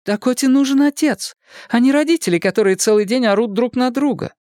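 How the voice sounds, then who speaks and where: A woman's voice: fairly high pitch, a little fast, warm tone, dry recording, clean studio sound, close to an everyday voice. A woman voicing an anguished wife and mother in a dramatised audiobook, tender but insistent as she emotionally implores. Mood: pleading, anguished.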